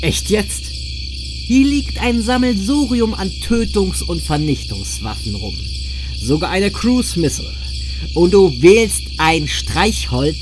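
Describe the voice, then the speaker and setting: A man's voice: high-pitched, sing-song, takes it slowly, over music, heavily acted, low-quality recording. A man playing an impatient, sarcastic companion on the run in a horror-comedy audio play. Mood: dry sarcasm. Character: impatient sarcastic male companion fleeing a monster.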